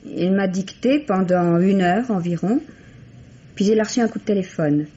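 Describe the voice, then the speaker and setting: An actress playing a composed, reserved young secretary who answers with cool candour in a French radio mystery play. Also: female voice, medium pitch, moderate pace, warm tone, natural voice. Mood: guarded. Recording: muffled, noisy old radio recording.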